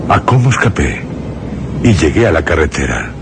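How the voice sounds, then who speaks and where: An actor from a Spanish radio drama playing a haunted, horrified man who recounts his ordeal in grave, halting phrases with a Castilian accent. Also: extremely low pitch, sing-song, heavy background noise, exaggerated voice. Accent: Spain. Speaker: first-person narrator in a radio drama. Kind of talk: suspense and horror radio drama narration. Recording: music under the voice. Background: prominent music.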